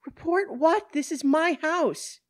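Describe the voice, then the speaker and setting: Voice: mid-range voice, very animated, slow, warm tone, pitched above the performer's own voice, heavily acted. A narrator voicing a frantic, cornered woman, pleading and protesting in exasperation in an audiobook story. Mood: frantic, exasperated.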